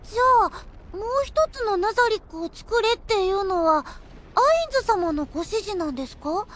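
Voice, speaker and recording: An actress playing a meek young elf boy in an anime audio drama, hesitant and softly polite with a stammer. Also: very high-pitched, animated, normal speed, a little hiss or hum. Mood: curious.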